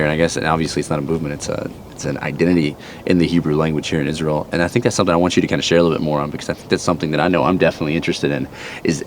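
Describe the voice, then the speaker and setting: A man's voice: a bass voice, slight background noise. A man hosting a casual interview, eager and chatty with rambling questions. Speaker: host.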